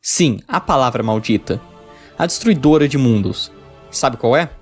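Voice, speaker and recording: A man's voice: mid-range voice, expressive, unhurried, soft background music. A young Brazilian man reading an informal scripted video review, irreverent and sweary in his delivery. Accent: Brazilian Portuguese. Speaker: video essayist reviewing games.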